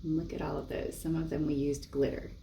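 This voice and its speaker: A woman's voice: deep voice, level tone, a little fast, creaky, warm tone, a little hiss or hum. A woman presenting a library storytime, reading and explaining crafts expressively for young children. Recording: echoey room, distant microphone.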